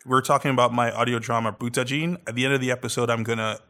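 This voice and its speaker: A man's voice: mid-range voice, steady tone, fast talker, pushed and bright. A man in his thirties hosting a podcast, chatting casually and animatedly with frequent laughs and fillers. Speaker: podcast host. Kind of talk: casual chat.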